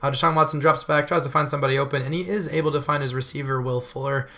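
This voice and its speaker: A young man with a voice still hoarse from illness, giving casual, rambling video game commentary and often correcting himself. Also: on the high side, steady tone, rapid-fire, sharp tone. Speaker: gaming channel host.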